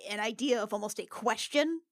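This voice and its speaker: A woman's voice: a little high, a little fast, no echo. Woman improvising game narration, dry sound. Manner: tentative, descriptive, thinking aloud. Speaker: player in a tabletop role-playing podcast.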